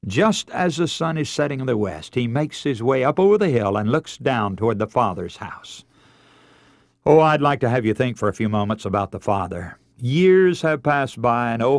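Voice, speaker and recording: A man's voice: medium pitch, no echo, clean studio sound. An American man preaching a radio sermon as a dramatic, emotive story, with theatrical delivery and rhetorical questions.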